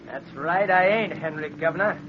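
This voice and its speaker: Muffled old radio recording of a man acting as a vengeful killer back from the dead. He speaks in a high, rough voice and taunts his victims with menace. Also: moderate pace, noisy background, lightly acted. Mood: gloating menace.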